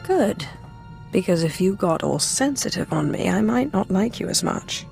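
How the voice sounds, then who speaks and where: A cool, commanding female Sith lord from a sci-fi game. She is poised and measured, with a creaky edge and a dryly teasing, flirtatious delivery over faint music. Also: mid-range voice, very animated, a little mellow. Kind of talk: video game character acting.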